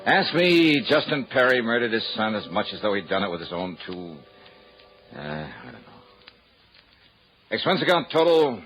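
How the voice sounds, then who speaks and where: An actor playing a brisk, insistent male insurance investigator who also narrates an old radio drama. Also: on the low side, sing-song, fairly bright tone, rough recording. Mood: narrating. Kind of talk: 1950s radio drama acting. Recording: hissy old radio broadcast.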